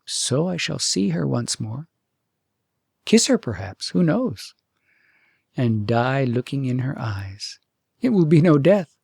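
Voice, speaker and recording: A man plays a young storybook prince, lovelorn and ready to sacrifice himself. He muses with studied nonchalance in a mid-range voice with a creaky edge. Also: mid-range voice, very animated, a little mellow, no room sound, clean studio sound, heavily acted. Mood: resolved, tender. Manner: musing, deliberately nonchalant. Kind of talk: storybook character acting.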